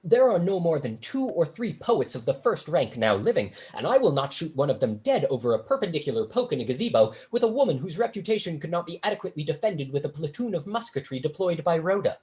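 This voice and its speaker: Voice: medium pitch, sing-song, fast, few pauses, a little mellow, lightly acted. A young student actor playing a witty, brazen male tutor in a staged reading of a period comedy, speaking in an eloquent, arch, formal manner. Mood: unruffled, mocking. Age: young adult.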